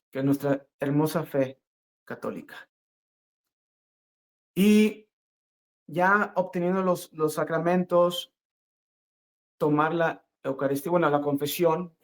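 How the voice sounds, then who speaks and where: A middle-aged man giving an earnest, spontaneous personal religious testimony, speaking haltingly and repeating phrases for emphasis. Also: on the high side.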